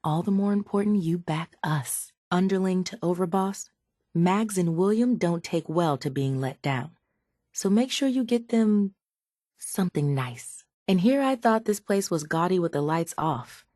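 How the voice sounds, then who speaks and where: Game role: sardonic, calculating female raider scientist, slightly low register, cool measured delivery, very dry studio sound. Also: expressive, mellow, no room sound. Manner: dry, measured, faintly condescending. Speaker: video game character voice actor. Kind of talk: scripted video game dialogue.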